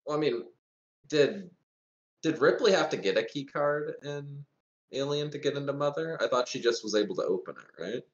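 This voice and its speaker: A man's voice: mid-range voice, animated, normal speed. A man chatting casually as a player in a tabletop roleplaying game, recorded with a dull, roomy sound. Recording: dull, echoey room sound.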